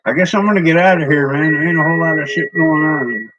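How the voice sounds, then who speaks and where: A man's voice: on the high side, quick pace, highs cut off, noisy background. A man casually chatting on his live stream as a CB radio hobbyist, laid-back and cussing freely while he putters around. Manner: laid-back, swears freely, mumbles to himself.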